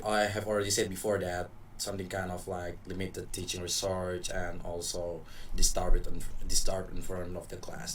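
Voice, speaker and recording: Deep-voiced young Indonesian man, halting English. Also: a bit bright. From Papua, Indonesia. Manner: hesitant, searching for words. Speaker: student podcast guest. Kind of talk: casual chat. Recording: distant mic with faint noise.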